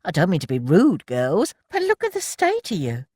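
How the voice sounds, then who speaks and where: A woman voicing a brash, glamorous young wartime factory girl who talks loudly and theatrically. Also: on the low side, huge pitch swings, normal speed, husky, no echo, studio quality, voice pushed up, heavily acted. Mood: defiant. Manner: blustering, theatrical, loud.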